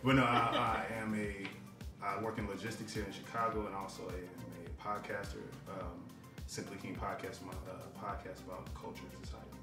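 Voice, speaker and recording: A man from Tennessee with a very deep voice jokes easily. He is heard in a very echoey room, with music behind him. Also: little pitch movement, a little fast, distant mic. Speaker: guest and podcaster.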